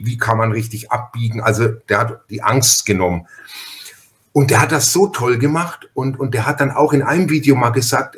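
A man's voice: mid-range voice, a bit bright. A man from Franconia with a Franconian accent, hosting a casual podcast interview and telling stories in a folksy, chatty, stop-and-start way. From Franconia, Germany. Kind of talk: casual interview chat with storytelling.

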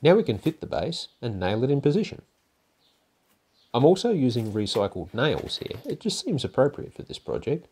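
An older man narrating a woodworking project in a calm, methodical, step-by-step way, as host of his own workshop channel. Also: mid-range voice, moderate pace, husky. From Australia. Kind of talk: instructional voice-over narration. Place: home garage workshop.

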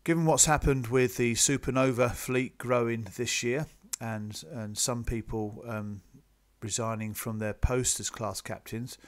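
A man presenting club business to members in a measured, businesslike, explanatory way, partly from a script. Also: lowish voice. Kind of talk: slide presentation, semi-scripted explanatory talk.

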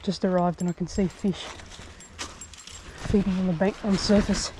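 A man talks to himself as he fishes, muttering in quick bursts with the odd whispered aside. He is recorded outdoors on a head-mounted camera, with a faint hiss of noise behind him. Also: high voice, fairly even intonation. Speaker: fishing vlogger. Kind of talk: casual self-talk while fishing. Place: outdoors on a bush creek bank. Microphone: head-mounted action camera.

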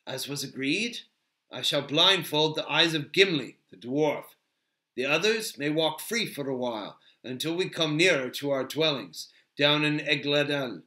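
A man voicing a stern, dignified male elf sentinel in a storybook, formal, measured and commanding. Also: on the high side, pushed and bright. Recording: roomy, echoing sound.

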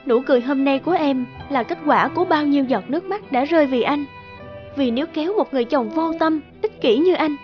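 High-voiced hurt cartoon ex-wife, a woman acting. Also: brisk, highs cut off, faint music behind, lightly acted. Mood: bittersweet, reproachful. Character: hurt, resolute young ex-wife. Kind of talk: animated moral tale dubbing.

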